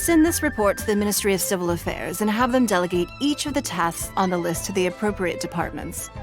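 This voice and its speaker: Composed noble lady in charge, woman voicing her, measured and gracious, game music behind. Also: mid-range voice, natural voice. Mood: commanding. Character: poised noblewoman ruling a harbor city, commanding. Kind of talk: game cutscene voice acting.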